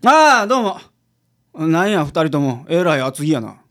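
A man's voice: fairly high pitch, big pitch swings, measured pace, a bit bright. A man playing an unflappable, easygoing private detective with a Kansai accent, explaining things confidently in a radio drama. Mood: unfazed. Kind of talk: community radio drama acting.

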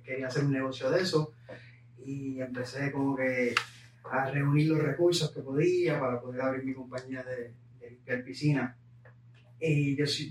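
A man from Puerto Rico with a Puerto Rican accent, a podcast guest telling his life story in an unhurried way, slipping English words into his Spanish. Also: mid-range voice, roomy sound, distant mic, a little hiss or hum, low-quality recording. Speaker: guest entrepreneur. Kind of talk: conversational storytelling.

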